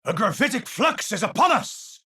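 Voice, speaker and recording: An actor playing a cerebral, haunted, middle-aged scientist in a video game, speaking formally and precisely with theatrical, pensive phrasing. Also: male voice, high voice, big pitch swings, moderate pace, pushed and bright, breathy edge, heavily acted. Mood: urgent. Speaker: video game hero character. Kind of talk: scripted game voice lines, theatrical.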